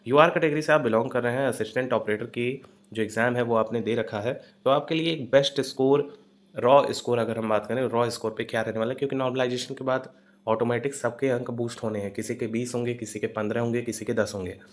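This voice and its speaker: A man's voice: mid-range voice, steady tone, fast. A man hosting an informal explanatory news update, repeating himself and mixing English terms into his talk. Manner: repetitive, Hindi mixed with English terms. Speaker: host of exam update videos.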